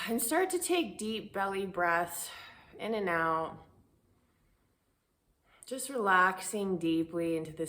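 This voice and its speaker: A woman leading a spiritual guided meditation, speaking in a soothing, deliberate way with pauses between phrases. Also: fairly low pitch, fairly bright tone. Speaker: guided meditation and prayer host.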